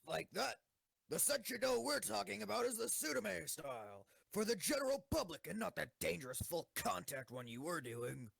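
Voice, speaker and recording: Male actor as pushy student council schoolgirl, heavily fried, husky, brusque, audio drama. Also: high voice, fairly bright tone, dry recording, heavily acted. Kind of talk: amateur anime-style audio drama acting.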